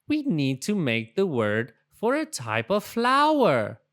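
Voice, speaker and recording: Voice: mid-range voice, wildly swooping pitch, slow, fairly bright tone, voice pushed up, heavily acted. A storybook reader voicing childlike little alphabet letters, earnest, exclaiming and easily upset. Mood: frustrated, upset. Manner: plaintive, exclaiming.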